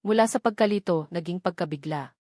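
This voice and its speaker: A woman narrates a scripted story dramatically, in short, measured, suspenseful phrases. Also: lowish voice, a little mellow, no echo, studio quality.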